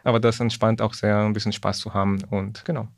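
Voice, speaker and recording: A man from Ecuador, chatting as a podcast guest in a halting, careful way. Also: lowish voice, little pitch movement, no room sound, studio quality. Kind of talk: casual chat.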